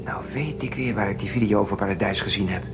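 A man's voice: lowish voice, fast, far from the mic, over music, close to an everyday voice. An actor playing a bold, protective, rebellious young man on the run aboard a spaceship in a Dutch radio drama, with an urgent, commanding manner. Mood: intent, suspicious.

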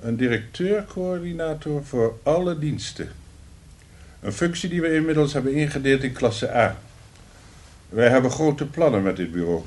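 A man's voice: mid-range voice, expressive, normal speed, a little mellow, close to an everyday voice. An actor in a radio play as a blunt, sardonic male director, dry and commanding in a job interview. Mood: businesslike. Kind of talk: radio drama acting.

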